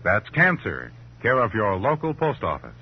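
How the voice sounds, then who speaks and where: A man's voice: fairly low pitch, wildly swooping pitch, normal speed. A man with an American accent announcing from a script in formal, emphatic 1950s radio style. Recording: muffled old radio recording, faint hum.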